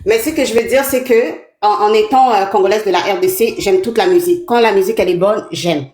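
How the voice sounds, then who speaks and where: A woman's voice: medium pitch, fast talker, a little mellow. Woman in her thirties, echoey call-in. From Canada. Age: 30s. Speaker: caller. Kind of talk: live call-in chat.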